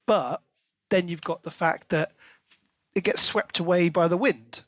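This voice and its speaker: A man's voice: high voice, unhurried, slight background noise. A man co-hosting a podcast, talking through a book in a casual, thoughtful and analytical way. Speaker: podcast co-host.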